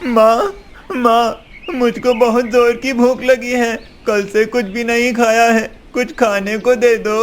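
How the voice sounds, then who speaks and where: Man doing a high, childlike little boy. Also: flat delivery, slow, a little hiss or hum. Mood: pleading. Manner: childlike, whiny. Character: pleading, innocent little boy.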